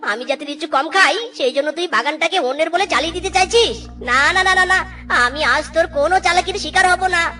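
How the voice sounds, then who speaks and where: A woman's voice: extremely high pitch, pushed and bright. A woman voicing a greedy, babyish young male animal in a children's cartoon, whiny and wailing. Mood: greedy glee. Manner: wailing, drawn-out childish delivery.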